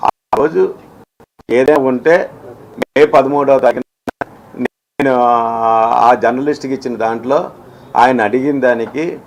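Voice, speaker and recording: A man speaks off the cuff at a middle pitch, as if addressing the press. He argues his case emphatically, often halting and repeating phrases as he goes. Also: slight background noise, poor audio. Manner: halting, repetitive, emphatic, argumentative. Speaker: film exhibitor speaking on industry dispute. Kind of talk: spoken statement to the press, unscripted.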